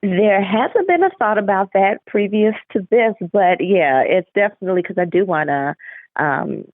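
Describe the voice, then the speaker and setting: A woman speaks as an author being interviewed, in a conversational style. Her voice is gravelly with vocal fry, and it comes through a muffled, phone-like connection. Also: medium pitch, animated, moderate pace, dry recording. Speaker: author, podcast guest. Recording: muffled, phone-like narrow-band call.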